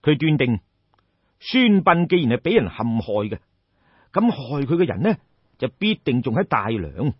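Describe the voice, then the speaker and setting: A man's voice: medium pitch, sing-song, moderate pace, slightly rough, dry recording, dull audio. A man with a Cantonese accent telling a story in a colloquial, theatrical traditional oral style, addressing listeners directly. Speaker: storyteller, audiobook narrator.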